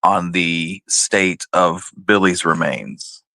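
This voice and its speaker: Man, bright crisp-edged tone, dry sound, radio co-host, measured, casual, questioning. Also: low-pitched, fairly even intonation, no echo. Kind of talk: casual discussion, commenting and questioning.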